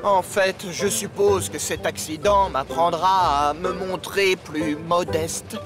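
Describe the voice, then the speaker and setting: An actor voicing a cocky, easily rattled little talking steam engine in a children's cartoon. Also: male voice, high-pitched, fairly even intonation, slow. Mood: humbled. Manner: boastful, exclamatory. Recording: music behind the voice. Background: music.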